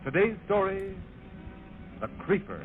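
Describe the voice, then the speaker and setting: A man's voice: sits high, sing-song, unhurried. A man announcing formally in a declamatory 1930s radio style, reading scripted advertising and narration. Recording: noisy, tinny 1930s radio recording.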